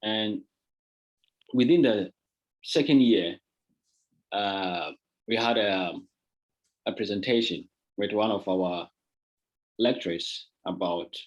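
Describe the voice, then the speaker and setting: A man from Ghana giving a relaxed, conversational presentation on a video call, with many fillers. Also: fairly low pitch, slow, long pauses, breathy edge. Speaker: guest artist presenter. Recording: dull lo-fi call audio.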